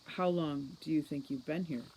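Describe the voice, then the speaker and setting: A man as a smug, high-voiced boy mastermind. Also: normal speed, darkish tone, a little hiss or hum, lightly acted, poor audio. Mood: cold taunting. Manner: sardonic, condescending, formal. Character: smug, cold boy criminal mastermind, precociously clever. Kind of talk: audiobook character reading.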